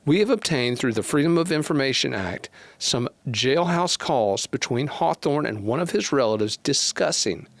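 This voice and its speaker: A middle-aged man delivering scripted news commentary in a deliberate, measured way into a close studio microphone. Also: medium pitch, a bit bright, no echo. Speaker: news host. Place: small indoor studio. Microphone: close podcast microphone on a boom arm.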